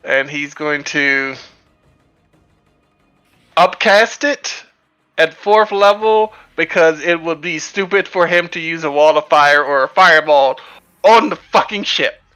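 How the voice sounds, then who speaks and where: A man's voice: on the high side, slow, pushed and bright, clean tone, faint music behind. A man playing a tabletop game over voice chat, talking casually with many fillers and hesitations. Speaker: tabletop player.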